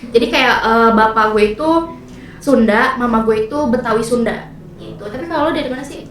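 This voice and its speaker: A woman's voice: on the high side, a little fast, slight background noise. Young woman host, echoey room. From Indonesia. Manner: chatty, asks questions, many fillers. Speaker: podcast host. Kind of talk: casual chat in a group. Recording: echoey room, mic far off.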